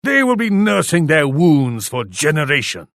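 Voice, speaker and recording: An arrogant Japanese warlord for a strategy game. His fairly high voice rises and falls dramatically as he issues haughty commands. He sounds imperious and scornful of everyone beneath him. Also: wildly swooping pitch, normal speed, fairly bright tone, dry recording, studio quality, exaggerated voice. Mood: gloating, scornful. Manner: haughty, commanding, speaks of himself in third person. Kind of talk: video game villain-rival voice lines.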